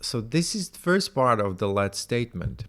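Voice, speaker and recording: A man's voice: mid-range voice, big pitch swings, normal speed, a bit bright. A man working through a coding tutorial by thinking aloud. He talks hesitantly, mutters to himself and trails off. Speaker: programming tutorial presenter.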